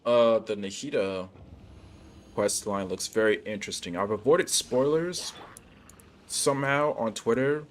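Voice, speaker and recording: Low-voiced young man chatting on stream. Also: measured pace, a little hiss or hum. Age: young adult. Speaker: game livestreamer. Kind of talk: casual livestream chat while gaming.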